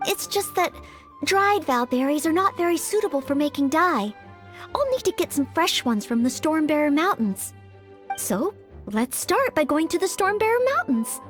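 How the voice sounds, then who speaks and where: Game role: young maid and would-be knight, very high voice, polite, earnest, unsure of herself, faint music underneath. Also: female voice, big pitch swings, normal speed. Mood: helpful, thoughtful. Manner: polite, earnest, carefully articulated. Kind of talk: anime-style video game voice acting.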